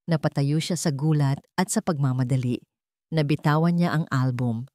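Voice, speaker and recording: A woman's voice: low voice, normal speed, mellow, dry recording, studio quality. A woman with a Filipino accent reading a scripted story as a dramatic narrator.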